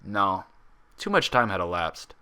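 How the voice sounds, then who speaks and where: A man reading a story aloud as an expressive narrator. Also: low voice, unhurried, clean tone. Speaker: fan fiction reader.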